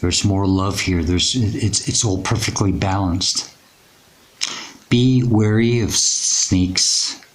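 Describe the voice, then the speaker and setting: A man with a raspy, hoarse voice giving a tarot reading, speaking in a reassuring, unhurried way with many pauses and fillers. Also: low voice. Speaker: tarot reader. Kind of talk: intuitive tarot reading to camera.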